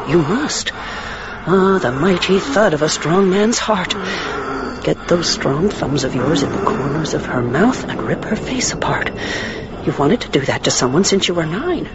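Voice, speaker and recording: An actor playing a gloating, seductive demon in a radio drama, breathy and commanding, savouring words in a dull, noisy recording. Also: male voice, a little high.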